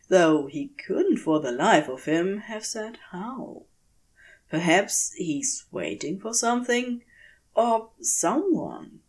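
A woman reading a story aloud as an expressive narrator, with measured, dramatic phrasing. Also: medium pitch. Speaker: fan fiction podfic reader.

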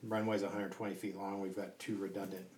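A low male voice with very little melodic movement explains project details in conversation. He pauses and gropes for the next item as he goes, picked up from a distance in a roomy, reverberant space. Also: flat delivery, a little mellow. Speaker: construction project team leader being interviewed. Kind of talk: conversational interview answers about a project. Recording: roomy echo, distant mic, faint noise.

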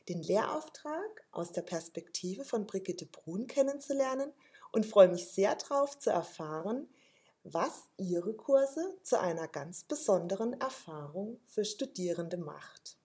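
A woman's voice: medium pitch, animated, unhurried, a little mellow. A woman who reads a formal introduction and then interviews her guest. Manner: formal, carefully read. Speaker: podcast host.

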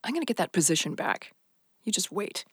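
An actress playing a tough, embittered policewoman in an audio drama, with a creaky voice and a defiant, determined manner. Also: lowish voice, big pitch swings, brisk, fairly bright tone, no room sound, clean studio sound, full character voice. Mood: combative. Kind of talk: naturalistic audio drama acting.

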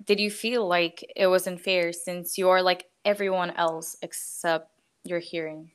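Woman interviewer, lower voice, smooth and resonant, measured questions. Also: steady tone.

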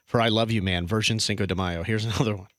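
A man hosting a morning radio prank call segment, laughing often and talking in an excitable, animated way. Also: lowish voice, quick pace, very noisy. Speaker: radio host.

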